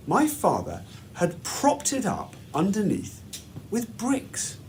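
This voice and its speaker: A man reads a children's story aloud in a measured, deliberate, expressive way, like a headmaster at storytime. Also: sits high, a little hiss or hum.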